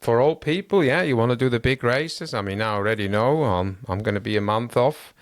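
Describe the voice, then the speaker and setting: A man's voice: medium pitch, fairly quick, no echo, studio quality. A man hosting a podcast about endurance running, chatting with his guest in an encouraging way. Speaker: podcast host. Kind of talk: interview host chat.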